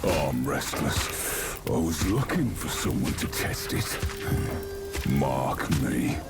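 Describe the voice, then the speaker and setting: An actor playing a gruff, impatient smith deity in a fantasy game, growling curt, scornful lines. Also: male voice, rumbling low, big pitch swings, extremely slow, recorded from a distance, loud music behind the voice, exaggerated voice. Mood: irritable, proud. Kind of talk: fantasy video game acting.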